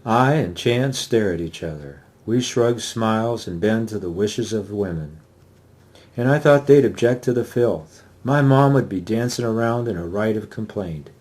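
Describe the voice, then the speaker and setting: A man's voice: on the low side, animated, normal speed, darkish tone. A man, the author, giving a dramatic reading of his first-person novel.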